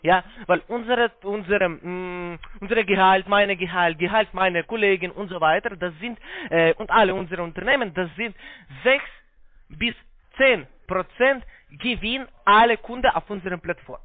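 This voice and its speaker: A man with a foreign accent giving a halting, repetitive, polite phone sales pitch in broken, non-native German. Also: no room sound. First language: not German. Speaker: call center investment salesman on a scam call. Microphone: phone call. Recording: narrow-band phone line sound.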